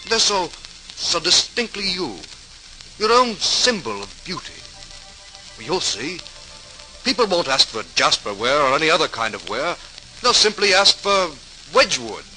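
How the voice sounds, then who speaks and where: Admiring friend, higher male voice, very sharp bright tone, emphatic, theatrical pauses, 1940s radio acting, crackly old recording. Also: sing-song, faint music behind, full character voice. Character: Thomas, potter's admiring, encouraging male friend.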